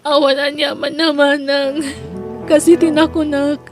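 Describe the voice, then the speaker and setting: A woman's voice: high voice, level tone, measured pace. An actress playing a devoted, anguished young woman in an Ilocano audio melodrama, speaking earnestly and emotively with an Ilocano accent. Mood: grieving. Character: Edna, devoted young woman, protective, anguished over her uncle. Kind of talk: Ilocano radio-style melodrama acting. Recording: music under the voice.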